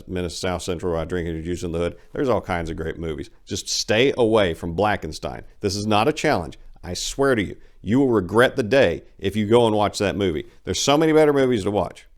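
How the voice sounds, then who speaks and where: A man hosting and narrating his own short stories in a dramatic, scripted reading style. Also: deep voice. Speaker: host, author and narrator.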